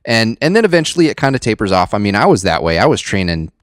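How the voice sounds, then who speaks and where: Guy with a low voice, easygoing agreeable podcast chat, dry sound. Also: very animated, fast talker, no echo. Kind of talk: casual chat.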